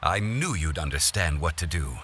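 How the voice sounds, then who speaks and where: A man playing a composed, scholarly former deity turned consultant in an anime video game, speaking in a measured, formal, courteous way. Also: very deep voice, lively intonation, heavy background noise. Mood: instructive.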